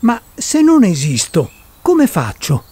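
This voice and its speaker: Radio drama male actor as a persistent, baffled questioner: high-pitched man, lively intonation, formal and methodical. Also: takes it slowly, slightly rough, heavily acted. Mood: probing. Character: persistent, puzzled male questioner seeking logical sense. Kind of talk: Italian radio drama acting.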